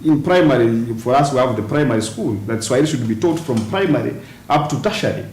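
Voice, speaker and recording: A man, a guest on a TV panel, explaining his points deliberately with many pauses and restarts. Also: medium pitch, animated, a little mellow. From western Uganda, near Congo and Rwanda borders. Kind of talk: studio panel discussion. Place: TV studio. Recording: roomy studio, faint hiss.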